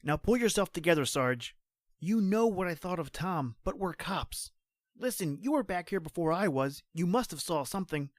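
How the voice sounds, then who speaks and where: An actor playing a brash, practical young police trooper in an old-time radio drama, with clipped colloquial delivery. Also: male voice, high-pitched, animated, normal speed, dry recording, clean studio sound. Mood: urgent, firm.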